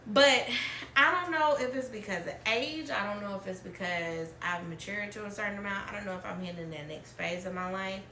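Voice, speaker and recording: A woman's voice: medium pitch, brisk, sharp tone, a little hiss or hum. A woman, telling personal stories as a podcast host, chatty and laughing often. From Alabama, US. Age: 30s. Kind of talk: candid storytelling chat. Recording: roomy, distant mic.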